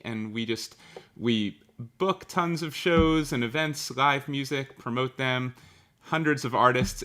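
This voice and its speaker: A man hosting a live music stream, upbeat and a little wry as he introduces the show. His voice is bright and forceful, at an ordinary male pitch. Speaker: host, show producer.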